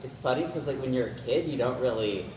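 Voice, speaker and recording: A man's voice: medium pitch, quick pace. A man in the audience at a convention panel, chatting casually. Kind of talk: casual chat. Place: convention centre panel room. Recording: distant, echoey hall with noise.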